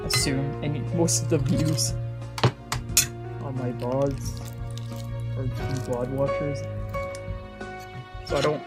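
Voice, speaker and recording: A woman streamer rambling casually and playfully as she gets ready to play a game, with informal, sweary, self-deprecating talk. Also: low voice. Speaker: VTuber streamer playing a visual novel. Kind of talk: casual rambling livestream chat. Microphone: old, cheap microphone.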